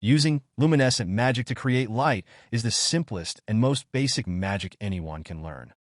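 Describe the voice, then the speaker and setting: A man voicing a soft-spoken gentle young woman. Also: mid-range voice, lively intonation, normal speed, no echo, clean studio sound, natural voice. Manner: soft, gentle and warmly polite.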